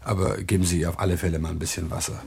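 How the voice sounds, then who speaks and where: An actor playing a cool, brisk criminal in a German radio play, offhand, matter-of-fact and quietly menacing. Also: male voice, sits low, flat delivery, creaky, slightly rough, heavily acted. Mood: brisk, reassuring.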